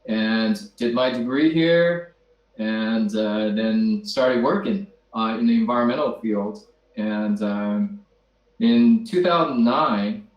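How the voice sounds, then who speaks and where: A man in his fifties from China, native Chinese speaker, introducing himself as a guest on a video call, measured with frequent fillers. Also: fairly low pitch. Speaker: guest, company founder. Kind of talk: self-introduction. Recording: roomy echo.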